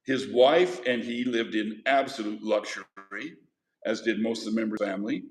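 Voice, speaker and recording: A man's voice: on the low side, moderate pace. A man teaching a history class, lecturing in a conversational way and putting questions to his students. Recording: echoey classroom.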